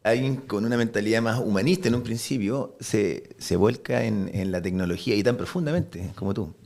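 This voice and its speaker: A male podcast host with a low, gravelly voice. He asks long, eager questions, often tripping over and repeating words as he goes. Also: fairly quick, a little mellow. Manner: chatty, stammering restarts, enthusiastic. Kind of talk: conversational interview.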